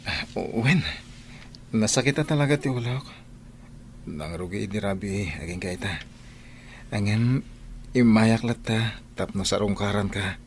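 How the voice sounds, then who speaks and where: A man's voice: fairly low pitch, measured pace, long pauses, darkish tone, a little hiss or hum. A man playing a lovesick, reluctant young bachelor in a radio drama, with an Ilocano accent. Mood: sheepish. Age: young adult. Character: lovesick young man, sulky hard-drinking older brother.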